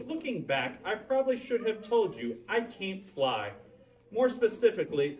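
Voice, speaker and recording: Man as storybook moose: earnest, chatty, awkwardly hopeful, lively intonation, heard from a distance. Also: on the high side. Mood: sheepish, hopeful. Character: earnest, eager male moose hoping to be a reindeer.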